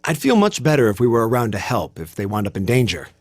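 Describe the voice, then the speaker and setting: A stiff, bookish young male cadet, with an American accent. His voice is lively in pitch and a little husky. He speaks formally, with proud, self-conscious hesitations, like a video game character, recorded very dry. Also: fast talker, dry recording, faint music behind. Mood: relieved, concerned.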